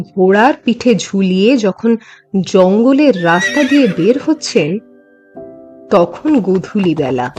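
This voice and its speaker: Slow-paced woman narrating a story. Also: medium pitch. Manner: measured, expressive, with deliberate pauses. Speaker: narrator and host of a story channel. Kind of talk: scripted dramatic audio story narration.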